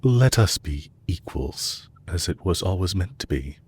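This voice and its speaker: A man playing a composed, courteous villain leader who is possessive and lovestruck, speaking formally and deliberately in an intimate romantic audio roleplay. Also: low-pitched, expressive, darkish tone, slight background noise. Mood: sincere, gentle. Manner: formal, deliberate, courtly phrasing with long pauses.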